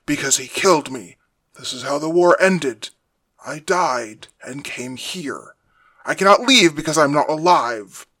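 A man playing a calm, calculating captive father god in an audio drama, quiet and deliberate. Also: on the high side, no room sound.

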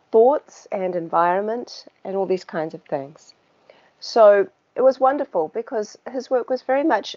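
A woman with a New Zealand accent, a podcast guest who talks in a relaxed, chatty way with small laughs and filler words. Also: medium pitch. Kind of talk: casual conversational interview. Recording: dull lo-fi sound.

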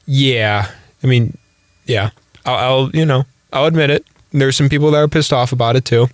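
A man's voice: mid-range voice, normal speed, no room sound. A young man with a cold co-hosting a casual sports podcast, rambling easily with lots of fillers. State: has a cold, sniffly. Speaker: podcast co-host.

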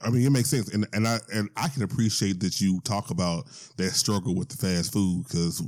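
A man hosting a casual interview, halting and repeating phrases as he works toward his questions. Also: low voice, fairly even intonation, a little mellow. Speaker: interviewer, host.

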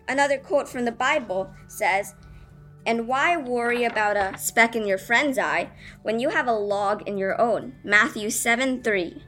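A woman's voice: fairly high pitch, a bit bright. A female student earnestly reading a prepared speech aloud, addressing listeners with rhetorical questions. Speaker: student reading own essay.

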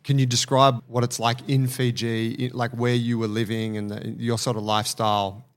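Co-host: man, mid pitch, flat even delivery, measured questions. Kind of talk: interview questions.

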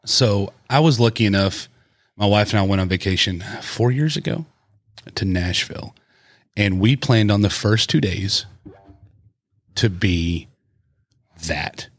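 Low-voiced podcast host, dry close sound. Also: male voice, a little slow, long pauses, no room sound. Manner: enthusiastic, interrupts to praise. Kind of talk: casual podcast conversation.

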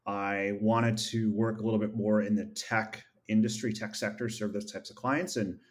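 Low-voiced man, measured and earnest. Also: level tone. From upstate New York. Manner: measured and earnest, with frequent uh fillers. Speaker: podcast guest, MBA graduate and founder. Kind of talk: reflective interview answers.

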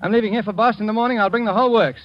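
Man acting brisk engineer, high-pitched voice. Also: natural voice. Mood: puzzled, brisk. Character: brisk, puzzled male engineer. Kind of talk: 1940s radio acting. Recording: dull, hissy 1940s radio recording.

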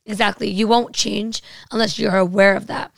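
A young woman guest in a casual chat, her voice raspy, talking loosely with lots of "like". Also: mid-range voice, fairly even intonation, normal speed, no room sound. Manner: chatty, full of "like".

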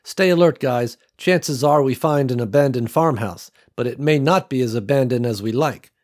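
A man voicing a steady, brisk young woman who leads a group over the radio. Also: medium pitch, natural voice. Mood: alert.

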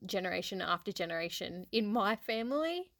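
An outgoing, loud woman whose was Hungarian, co-hosting a casual podcast chat and laughing often. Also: medium pitch, lively intonation, fairly quick, no echo, studio quality. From Australia. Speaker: podcast co-host.

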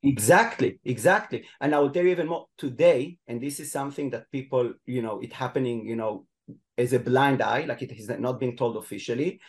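A man's voice: mid-range voice, a little fast. A man with an Israeli accent, a guest expert in a podcast interview, explaining at length with many fillers and restarts. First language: Hebrew.

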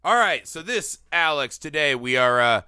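A man hosting a casual film podcast, animated and teasing, a little sniffly from a cold. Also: fairly high pitch, moderate pace, smooth, no room sound, clean studio sound. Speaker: podcast host. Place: Los Angeles area.

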